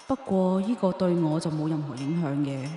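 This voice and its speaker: A cold young assassin princess for a radio drama, acted by a woman. The voice is mellow and on the low side, detached yet theatrical. It rings with long reverb. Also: a little fast, lightly acted. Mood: cold, determined. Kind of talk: Chinese radio drama acting with first-person narration. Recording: roomy long reverb, faint music behind.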